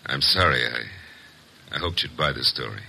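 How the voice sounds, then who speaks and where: An actor playing a genteel, evasive husband in an old radio drama, speaking in a proper, hesitant way. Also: male voice, a bass voice, lively intonation, moderate pace, creaky, sharp tone, breathy edge, heavily acted. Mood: defeated, earnest. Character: genteel, evasive husband shielding his wife.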